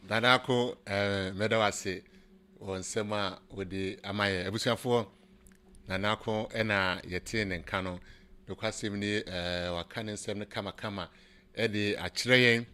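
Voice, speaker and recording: A man speaking slowly, reading out a list of names in a measured way. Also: on the low side, steady tone, sharp tone, clear, resonant tone.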